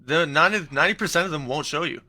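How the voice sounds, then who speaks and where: A man in his twenties hosts a video-call panel, talking fast with a bright, forceful tone. He is blunt and punchy and jumps in with quick asides. His voice sits a touch higher than average. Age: 20s. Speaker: podcast host. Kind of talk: casual panel discussion.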